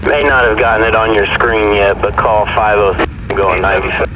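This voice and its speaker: A man's voice: lowish voice, steady tone, brisk, sharp tone. A man talking in clipped procedural phrases as a police officer over a two-way radio on a noisy scanner.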